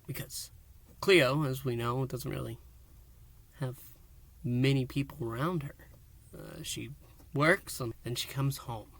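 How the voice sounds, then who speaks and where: Bright-voiced man hosting a fan review. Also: mid-range voice. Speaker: host of a fan review show. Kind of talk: review and recap to camera, semi-dramatic storytelling.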